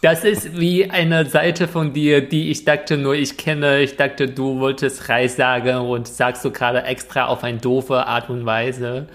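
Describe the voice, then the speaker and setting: A man's voice: mid-range voice, level tone, unhurried, few pauses, a bit bright. A man co-hosting a casual podcast, teasing his partner and patiently explaining things. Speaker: podcast co-host.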